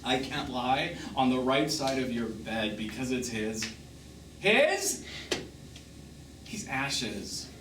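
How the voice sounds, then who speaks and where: Bitter, animated jilted lover, distant stage. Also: male voice, mid-range voice, slow, long pauses. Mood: scornful. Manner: theatrical, cutting, emphatic. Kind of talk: live one-act stage drama acting. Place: small theatre stage, recorded from afar. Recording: distant noisy roomy theatre stage recording.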